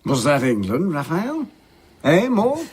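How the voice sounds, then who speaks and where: An actor playing a jovial, sociable gentleman host in an audio drama, bantering and playfully teasing his guests. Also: a little high, wildly swooping pitch, slow pace, husky, heavily acted.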